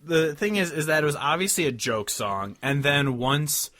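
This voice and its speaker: A man's voice: fairly high pitch, expressive, normal speed, sharp tone. Casual, rambling male podcast co-host. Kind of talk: casual music chat.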